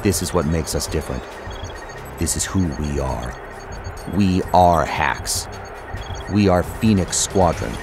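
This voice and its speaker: Low, brooding cyborg fighter pilot. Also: male voice, over music. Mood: solemn. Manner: solemn, measured, intense. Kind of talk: dramatic scripted narration, audio drama.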